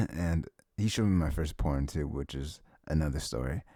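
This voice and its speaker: A man with a husky, creaky voice rambling informally and haltingly to one listener in an intimate ASMR chat, close to the mic. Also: very deep voice, lively intonation, warm tone, no room sound. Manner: sniffles habitually. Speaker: ASMR audio performer.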